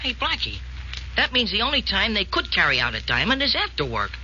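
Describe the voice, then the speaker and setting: An actor playing an eager, dim-witted detective's sidekick, naive and slangy, in 1940s radio drama style. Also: male voice, sits high, wildly swooping pitch, fairly quick, bright, forceful tone, full character voice. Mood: puzzled. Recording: noisy, muffled old radio broadcast.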